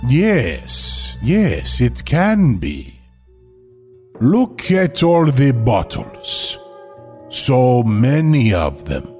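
A man playing a seductive vampire who lulls a victim with drawn-out, hypnotic, savoring speech in a comic horror sketch. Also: mid-range voice, huge pitch swings, a little mellow, faint music behind, heavily acted. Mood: suave, hypnotic. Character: slow, seductive vampire Vlad hypnotizing his wine-shopping victim.

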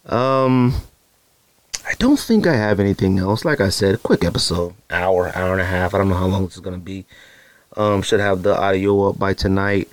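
A man's voice: deep voice, normal speed, dry recording. Dry, close-miked male podcast host. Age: adult, married. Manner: rambling and conversational, many "you know" fillers, voice jumps up when making a point. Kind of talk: casual solo podcast talk.

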